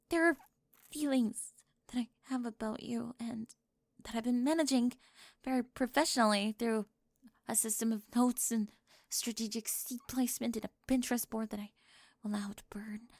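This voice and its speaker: A woman playing a flustered, melodramatic high school mean girl with a secret crush, stammering defensively in an ASMR audio roleplay. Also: fairly even intonation, moderate pace, dry recording, studio quality, natural voice. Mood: flustered confession.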